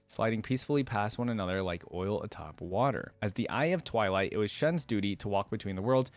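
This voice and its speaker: A man with a fairly low voice hosts a lore reaction in a casual way, reading story text and commenting on it. The sound is dry, with very little room in it. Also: no room sound. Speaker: reaction video host reading lore aloud.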